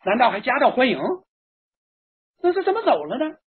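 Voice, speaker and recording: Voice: mid-range voice, quick pace, husky, narrow, tinny audio. A storyteller voicing indignant village relatives in a traditional tale, blustering with exclamations and rhetorical questions. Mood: baffled, indignant.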